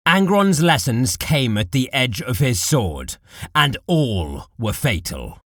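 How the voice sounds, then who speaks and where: Slow, dramatic male narrator, lively pitch. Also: no room sound, clean studio sound. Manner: deliberate, dramatic. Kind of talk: dramatic scripted audiobook narration of dark war fiction.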